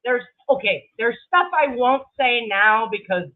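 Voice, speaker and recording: A woman's voice: on the high side, unhurried. A woman talking casually on a livestream in a brash, dramatic, sweary way, often laughing. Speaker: livestream creator. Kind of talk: casual livestream commentary to viewers. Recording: muffled, narrow lo-fi sound.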